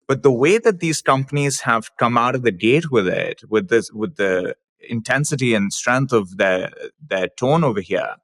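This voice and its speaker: A man from Pakistan co-hosting a podcast, laying out context before asking an earnest, thoughtful question. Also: mid-range voice. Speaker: podcast co-host. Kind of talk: conversational podcast interview.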